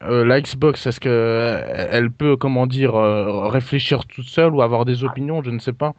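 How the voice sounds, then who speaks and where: A man's voice: medium pitch, dry recording. Hesitant man on a dull-sounding prank call. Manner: hesitant, halting, feigning puzzled offence. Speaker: prank caller posing as a customer. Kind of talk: prank phone call to customer support. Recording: dull lo-fi call recording, dry.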